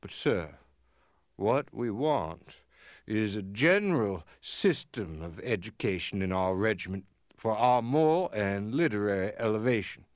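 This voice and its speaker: Dignified Union sergeant, swooping oratory. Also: male voice, medium pitch, no room sound, studio quality. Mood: pleading, dignified. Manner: oratorical, formal, impassioned. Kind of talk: dramatic reading of a formal letter.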